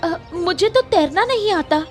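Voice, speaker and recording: A woman's voice: very high voice, expressive, measured pace, darkish tone, pitched above the performer's own voice. A woman voicing a polite, innocent young village girl in a cartoon moral tale. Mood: panicked. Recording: music behind the voice.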